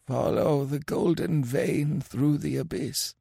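A man voicing a dying, mangled male sorcerer who raves for vengeance in a racking, inhuman croak, gasping and drooling. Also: mid-range voice, steady tone, slow, dark tone, dry recording, clean studio sound, pitched above the performer's own voice, heavily acted. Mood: fading. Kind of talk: audiobook character voice.

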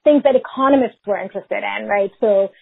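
A woman from Pakistan, a researcher answering interview questions in a measured way, often hedging with "sort of" and "if you will". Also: mid-range voice, vocal fry, husky, no room sound. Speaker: researcher and guest. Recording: phone-like remote line.

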